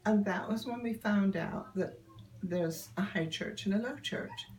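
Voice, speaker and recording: An older woman, telling stories in a relaxed interview, often laughing and pausing with ums. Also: medium pitch, a little hiss or hum, low-quality recording. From Yorkshire, England. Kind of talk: casual storytelling interview.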